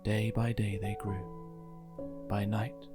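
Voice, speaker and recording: A storybook narrator giving a gentle, scripted reading for children, with music behind the voice. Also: low-pitched, level tone, extremely slow. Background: music bed.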